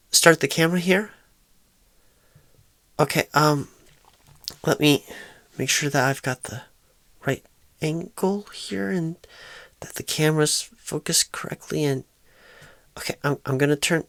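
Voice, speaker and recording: Woman acting a slow, stammering, painfully shy rookie recruiter. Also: deep voice, a bit bright, no echo. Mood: flustered, timid, then anxious, fumbling. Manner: halting, stammering, apologetic, hesitant. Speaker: ASMR roleplay performer. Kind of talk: ASMR roleplay monologue.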